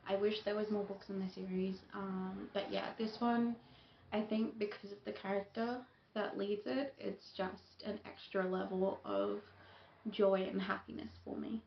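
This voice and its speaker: A young woman chatting casually on her book vlog, with plenty of ums and soft laughs. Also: mid-range voice, fairly even intonation, measured pace, creaky. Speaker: book YouTuber. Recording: echoey room, dull lo-fi sound, faint hiss.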